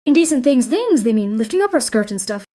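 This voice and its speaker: A woman's voice: a little high, big pitch swings, quick pace, warm tone. A woman playing a frank, cheeky young schoolgirl in an audio drama, speaking bluntly.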